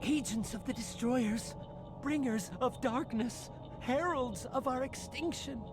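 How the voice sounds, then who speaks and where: A man's voice: very high-pitched, takes it slowly, music in the background. A man voicing an unhinged male scientist who prophesies doom in feverish, ominous, half-whispered pronouncements.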